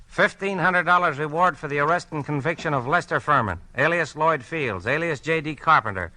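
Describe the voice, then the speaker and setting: Brash, sharp-voiced police deputy. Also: male voice, on the high side, brisk, low-quality recording. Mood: official. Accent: American. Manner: folksy, slangy, joshing. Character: brash, teasing small-town American police deputy, male. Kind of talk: 1940s radio acting.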